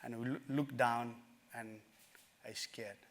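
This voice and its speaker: A middle-aged man from Nepal, telling his life story in a summit talk, earnest and halting, with many fillers. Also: mid-range voice, steady tone, a bit bright. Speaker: speaker at a summit. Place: conference stage.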